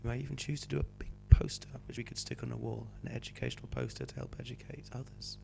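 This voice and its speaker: A male teacher with a cold, hoarse and croaky, patiently talking students through a homework sheet. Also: mid-range voice, steady tone, fast talker, background noise. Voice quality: croaky, slips into whispery breaks. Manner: patient, explaining step by step. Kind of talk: homework help walkthrough.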